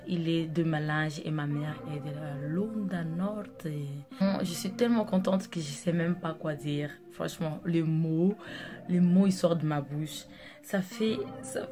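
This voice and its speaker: Young woman, from Angola, native Dutch and Portuguese speaker; low, casual, filler-heavy vlog chat over a music bed. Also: fairly even intonation, a little slow. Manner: chatty, rambling, with many fillers. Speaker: makeup artist vlogger. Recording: music playing under the voice.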